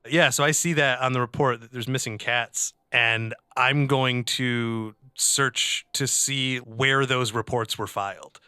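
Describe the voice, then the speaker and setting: A man's voice: mid-range voice, steady tone, unhurried, hardly any pauses, pushed and bright, clean tone, dry recording, studio quality. A man casually describing his character's actions as a player in a tabletop game, talking informally. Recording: music bed under the voice.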